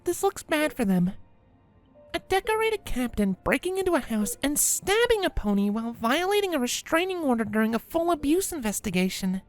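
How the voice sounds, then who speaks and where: A woman plays a gentle young cartoon mare in an audio drama, high-voiced and very varied in pitch. She is soft-spoken, sober and reassuring, with music playing faintly underneath. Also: sing-song, fairly quick. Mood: sober, hushed. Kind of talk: fan fiction audio drama.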